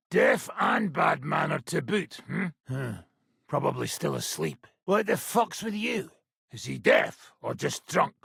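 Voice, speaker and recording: An actor playing a foul-mouthed, boozy medieval fighter in a video game, with a British accent and a rough, gravelly voice. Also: male voice, sits high, fairly bright tone, no echo, studio quality, heavily acted. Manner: coarse, brash, swearing banter.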